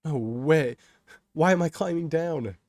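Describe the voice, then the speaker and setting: Brief: selfish young cynic, played by a male storyteller, whiny and incredulous, raised pitch, close dry sound. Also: moderate pace, no room sound, natural voice. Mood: panicked refusal. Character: selfish, cynical, panicky young man thinking to himself.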